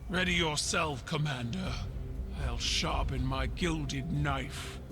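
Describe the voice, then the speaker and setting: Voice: mid-range voice, takes it slowly, lightly acted. A performer voicing a proud, imperious alien warrior empress in a video game, commanding and formal. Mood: imperious, threatening. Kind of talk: dramatic game cutscene dialogue. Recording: clear cinematic music underneath.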